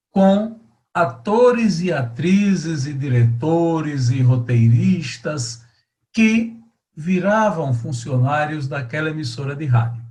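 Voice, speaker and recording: A man introducing a guest as host, speaking formally in deliberate, oratorical phrases with pauses. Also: on the high side. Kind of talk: measured, formal introduction to an oral-history interview.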